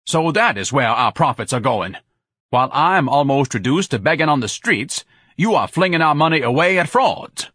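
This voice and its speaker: A bombastic, self-important grown son, as a male narrator plays him, declaiming his complaints loudly. The voice sits a little above the reader's own and sounds lofty. The sound is dry and close. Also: mid-range voice, big pitch swings, normal speed, husky, no echo, voice pushed up. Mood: indignant. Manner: grandiloquent, huffy, loud.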